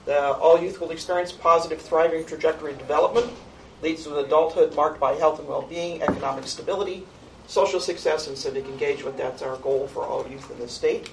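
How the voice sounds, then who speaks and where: Woman, low-register voice, informal report to a board, lots of ums, distant mic, faint background noise. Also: fairly quick. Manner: conversational, with frequent ums and restarts. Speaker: youth program coordinator presenting an annual report.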